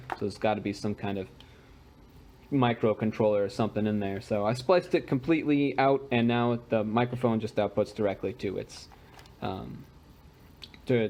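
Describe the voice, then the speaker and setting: A man's voice: mid-range voice, a little mellow. Casual man demonstrating a homemade gadget. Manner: casual, unpolished, conversational. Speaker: hobbyist maker presenting a homemade gadget. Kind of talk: informal demonstration and explanation.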